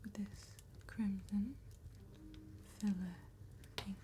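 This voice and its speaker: A woman playing an attentive, courteous vampire tattoo artist in a slow, breathy ASMR whisper with deliberate pauses. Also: medium pitch, steady tone, soft background music. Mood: calm, attentive. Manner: slow, deliberate, gentle phrasing with long pauses.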